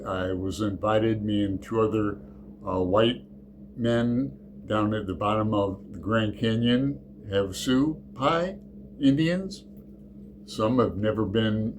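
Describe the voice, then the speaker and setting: Slow, rambling middle-aged man. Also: on the low side, background noise. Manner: rambling, digressive, halting with fillers and self-corrections. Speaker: book channel host. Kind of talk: casual unscripted book vlog.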